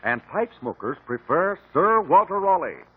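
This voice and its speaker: An American man reading a scripted 1950s radio commercial as a pitchman. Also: sing-song, normal speed, slight background noise. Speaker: advertising pitchman. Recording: muffled 1950 radio recording.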